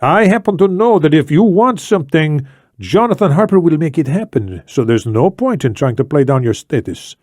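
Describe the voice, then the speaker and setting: A man acts out an older Spanish ex-intelligence officer in a thriller audiobook. He is shrewd, commanding and formal, with deliberate, emphatic phrasing that swings widely in pitch. The sound is close and dry. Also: sing-song, darkish tone, dry recording. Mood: firm, controlled. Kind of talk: acted audiobook dialogue.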